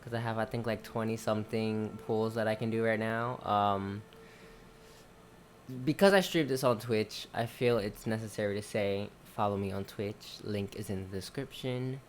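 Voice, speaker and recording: A man's voice: on the low side, moderate pace, slight background noise. Casual, rambling young male game streamer. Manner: informal, rambling. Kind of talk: casual gameplay commentary.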